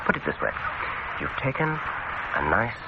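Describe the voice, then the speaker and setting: An actor playing a suave, controlling dentist in a radio horror drama, speaking in a deliberate, coaxing, insinuating way. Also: male voice, medium pitch, sing-song, creaky. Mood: probing, sly. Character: suave, sinister male dentist, smoothly persuasive and controlling. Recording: noisy, muffled old radio broadcast recording.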